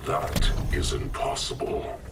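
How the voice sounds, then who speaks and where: A man playing a solemn, imperious sorcerer in a video game, with grave pronouncements and otherworldly processing. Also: slow pace. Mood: stern, solemn. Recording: echoing, distant, under loud noise.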